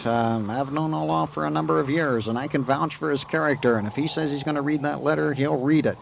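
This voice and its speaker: A man's voice: fairly high pitch, brisk, gravelly. A man playing a plain-spoken, folksy Old West doctor in a Western radio drama. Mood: reassuring. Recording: noisy, hissy 1980s amateur tape.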